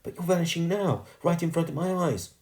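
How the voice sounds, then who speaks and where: Man putting on a high-voiced, earnest young girl. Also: expressive, a little fast, warm tone, lightly acted. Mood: pleading. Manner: well-spoken, pleading, sincere. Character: earnest, soft-hearted thirteen-year-old upper-class girl.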